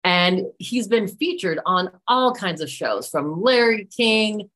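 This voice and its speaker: A woman's voice: medium pitch, lively intonation, slow, a bit bright. A woman hosting a podcast, introducing and questioning her guest with effusive enthusiasm. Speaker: podcast host. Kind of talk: interview hosting.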